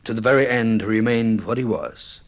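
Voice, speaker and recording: An actor playing a middle-aged film director, intense and mournfully insistent, with theatrical, deliberate delivery in vintage radio drama style. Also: male voice, mid-range voice, very noisy, lightly acted. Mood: grave, reproachful.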